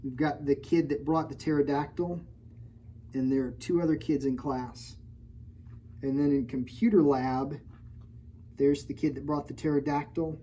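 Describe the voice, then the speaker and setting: Woman librarian, storytime reader, mellow tone, playful teasing asides. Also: sits low, fairly even intonation, moderate pace, long pauses, slight background noise, low-quality recording. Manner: playful, teasing, stops often to point out pictures. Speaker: children's librarian. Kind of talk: storytime read-aloud with chatty asides.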